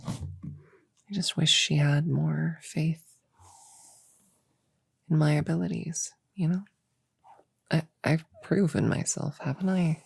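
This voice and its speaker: A woman playing an eager, slightly grumpy young novice witch in a soft-spoken ASMR roleplay, chatting casually and hesitantly to a friend in a breathy voice that often drops to a whisper. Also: sits low, fairly even intonation, takes it slowly, pauses often, no room sound, heavily acted. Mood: grumpy, wistful. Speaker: audio roleplay performer. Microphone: close microphone in a dry space.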